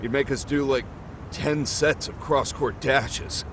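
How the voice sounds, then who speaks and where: A man's voice: medium pitch, fairly even intonation, takes it slowly, background noise, lightly acted. An actor playing an easily cowed young male student delinquent in a video game, talking in casual slang. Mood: complaining. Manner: casual slangy youthful talk.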